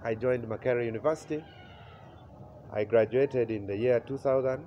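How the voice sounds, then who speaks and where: A man in his forties from Uganda with a Ugandan accent, telling his life story as an interview guest, pausing often with "uh" and chuckling at memories. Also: mid-range voice. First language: Acholi. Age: 40s. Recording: slightly muffled with faint noise.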